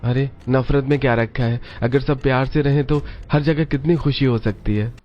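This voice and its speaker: A man voicing a gentle, resigned young husband who works in an office, soft-spoken and placating. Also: medium pitch, fairly even intonation, normal speed, lightly acted. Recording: dull lo-fi with faint music.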